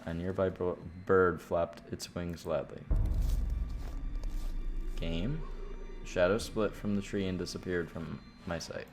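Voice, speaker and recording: A man with a fairly deep voice reads a horror visual novel aloud for a stream. He narrates expressively, like a storyteller, and slips into casual asides. Game music plays clearly behind him. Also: fairly even intonation, measured pace, pauses often. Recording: loud music under the voice.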